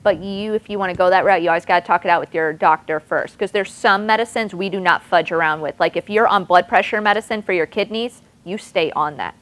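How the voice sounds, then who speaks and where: A woman's voice: on the low side, fairly quick, few pauses, fairly bright tone. A woman presenting on nutrition, answering audience questions in a chatty way with lots of "like" and "you know". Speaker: nutrition presenter at a clinic talk. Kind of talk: audience Q&A session.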